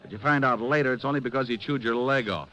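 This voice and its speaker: An actor playing a cocky, sardonic American private detective in an old-time radio drama, wisecracking and hot-tempered. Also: male voice, medium pitch, fast talker, close to an everyday voice. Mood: wry narration. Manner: sardonic, flippant.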